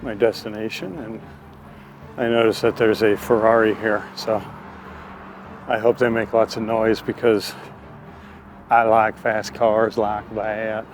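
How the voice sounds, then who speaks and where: A man's voice: on the low side, little pitch movement, measured pace, long pauses, a little hiss or hum. A man hosting a casual walking vlog outdoors, talking in an informal, rambling, stop-and-start way. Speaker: host of his own vlog. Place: outdoors on a walk by a road.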